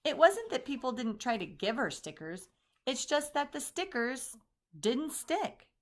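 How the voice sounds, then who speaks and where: A woman reading a children's picture book aloud in an expressive storytelling manner. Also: high-pitched, measured pace. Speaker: storybook reader.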